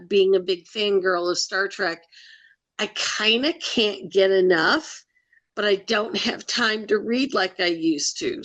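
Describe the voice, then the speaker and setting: A woman co-hosting a podcast in casual review chat, measured, pausing between short phrases. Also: medium pitch, a bit bright. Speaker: podcast co-host.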